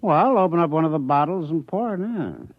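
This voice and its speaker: A man's voice: on the high side, expressive, a little fast. An actor playing a lazy, hard-drinking American city man on vacation in a 1950s radio drama, sardonic and grumbling. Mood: lazy, wry. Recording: muffled 1950s radio recording.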